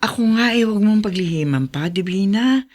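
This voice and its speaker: A woman's voice: medium pitch, animated, normal speed, a little mellow, close to an everyday voice. A woman with a Filipino accent acting a concerned, frank friend in a radio drama, probing as she talks. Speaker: actress in a drama.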